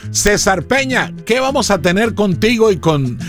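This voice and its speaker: A man hosts a casual interview in a moderately high voice. He is emphatic and likes to repeat phrases for weight, while tropical music plays faintly underneath. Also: animated, normal speed. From Bucaramanga, Colombia. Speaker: radio host, interviewer. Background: salsa and tropical music underneath.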